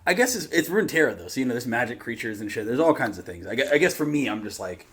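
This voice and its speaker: A man's voice: mid-range voice, very animated, fast talker, fairly bright tone. An American man in a casual reaction chat. His voice is mid-range, and he talks in a joking, slangy way as he co-hosts. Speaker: reaction show co-host.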